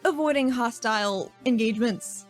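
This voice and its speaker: A woman's voice: fairly high pitch, moderate pace, soft background music, lightly acted. A woman voicing a no-nonsense female officer in her own voice, firm and clipped. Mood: urgent.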